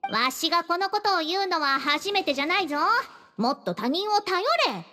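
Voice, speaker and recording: A woman's voice: extremely high pitch, animated, normal speed, over music. A woman playing a haughty young female scholar who lectures in old-fashioned elderly phrasing in an anime game. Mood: bossy, scolding.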